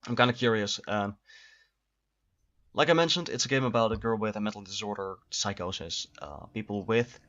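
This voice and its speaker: Man, lowish voice, rambling stream chat, dull lo-fi sound. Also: normal speed, fairly bright tone. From Netherlands. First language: Dutch. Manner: chatty, rambling with restarts. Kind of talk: live gameplay commentary.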